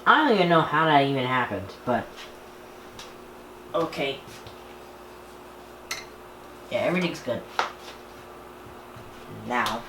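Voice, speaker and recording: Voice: mid-range voice, lively intonation, unhurried, long pauses. A person talking casually and off the cuff for a vlog, rambling and often restarting sentences. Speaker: video creator showing a radio collection. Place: indoor room. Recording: echoey room with background noise.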